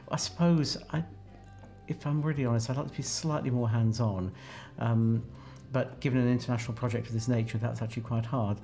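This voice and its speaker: A man's voice: mid-range voice, dark tone. A man in his sixties giving a reflective interview answer in a small office. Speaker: researcher interviewed about a project.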